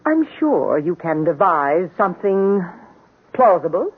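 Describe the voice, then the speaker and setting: An actress playing a cruel, power-hungry wife in a 1970s radio drama, cool, commanding and taunting. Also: female voice, fairly low pitch, big pitch swings, measured pace, breathy edge, full character voice. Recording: muffled, phone-like old radio recording.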